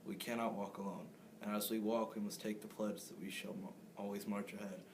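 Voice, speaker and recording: A man's voice: on the low side, flat delivery, fairly quick. A teenage boy, a student, reading a speech aloud. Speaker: student reader. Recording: clear background noise.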